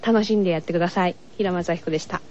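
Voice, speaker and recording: A woman's voice: lowish voice, very fast, darkish tone, a little hiss or hum. A woman voice actress giving a polite, hesitant and unrehearsed interview comment. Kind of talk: informal promotional interview comment. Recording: dull, lo-fi radio recording.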